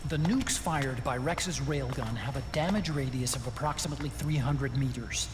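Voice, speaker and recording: A man's voice: medium pitch, moderate pace, music in the background, close to an everyday voice. A man playing an earnest technical expert in a game cutscene, explaining things precisely. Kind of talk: dramatic game cutscene dialogue. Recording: echoey room with loud ambient noise.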